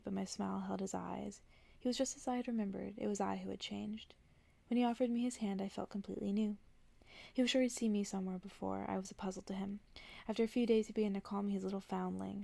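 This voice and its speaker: Woman, very creaky voice, mellow and hushed, ASMR bedtime reading, close dry recording. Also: mid-range voice, no echo. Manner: hushed, unhurried, slipping into whispers. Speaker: ASMR reader and host. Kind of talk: soft-spoken ASMR bedtime story reading.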